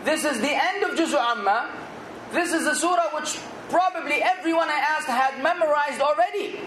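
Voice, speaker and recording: A man's voice: very high-pitched, fairly bright tone, echoey room, a little hiss or hum, poor audio. A man giving an earnest Islamic sermon, exhorting his listeners and now and then rising to emphatic outbursts. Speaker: Islamic preacher.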